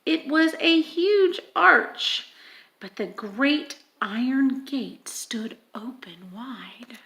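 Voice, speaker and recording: A woman reading a children's story aloud in an expressive, dramatic manner, with emphatic stresses and hushed moments. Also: sits high, very slow. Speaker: audiobook reader.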